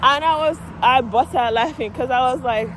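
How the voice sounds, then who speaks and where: A woman from Nigeria with a Nigerian accent, chatting to her vlog camera while walking outdoors, animated and outspoken, swearing freely. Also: high voice, moderate pace. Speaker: vlogger, medical assistant. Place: outdoors on a residential street. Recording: street with passing traffic noise. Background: cars and street ambience.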